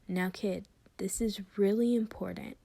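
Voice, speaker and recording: A woman voicing a protective adult male hero teacher, questioning and reassuring in a measured way for an audio story. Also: medium pitch, fairly even intonation, gravelly, warm tone. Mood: concerned, soothing.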